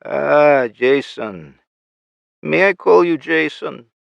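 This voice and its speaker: A man voicing a gloating male villain with a Russian accent, taunting and mocking in a slow, drawn-out way. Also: on the high side, lively intonation, creaky, no room sound, pitched above the performer's own voice, full character voice. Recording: muffled lo-fi recording.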